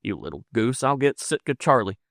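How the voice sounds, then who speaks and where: A man reading as a bold, lovestruck miner from a frontier storybook. He pushes his words out with emphasis, by turns combative and coaxing, in a medium-pitched voice recorded dry and close. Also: very animated, a little fast, gravelly, a bit bright, no room sound. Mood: excited, boastful. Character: belligerent, ardent gold-rush miner in love, man. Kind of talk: audiobook character dialogue.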